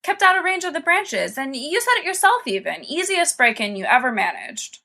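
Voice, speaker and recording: A woman's voice: high-pitched, sing-song, moderate pace, pushed and bright, pitched above the performer's own voice, full character voice. A woman voicing a wide-eyed, earnest teenage boy sidekick in an audiobook. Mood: earnest, awed. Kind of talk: dramatised fan-fiction audiobook reading.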